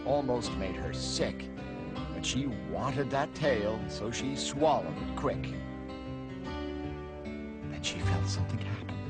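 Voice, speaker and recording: A male narrator reads rhyming verse for children in a theatrical, very animated way. He takes his time over the lines, with clear music playing behind him. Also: medium pitch. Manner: theatrical, dramatic emphasis. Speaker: storybook narrator.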